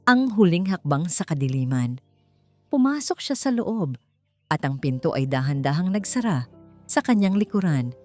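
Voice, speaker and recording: Low-voiced Filipino woman narrating over music. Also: very animated, normal speed, a little mellow, no room sound. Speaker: audiobook narrator. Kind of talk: dramatic scripted story narration. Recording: music bed under narration. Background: background music.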